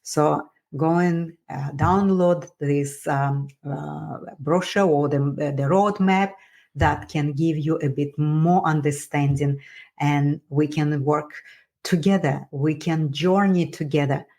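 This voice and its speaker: A woman with a strong Russian accent, speaking as a wellness coach in an encouraging motivational talk, halting as she searches for words. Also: low-pitched, steady tone, warm tone. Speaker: wellness coach and podcast host.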